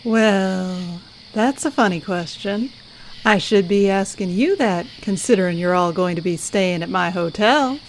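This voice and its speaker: A woman's voice: mid-range voice, unhurried, slight background noise, close to an everyday voice, low-quality recording. A woman playing a proud, eccentric female squirrel-like hotel keeper in an audio story.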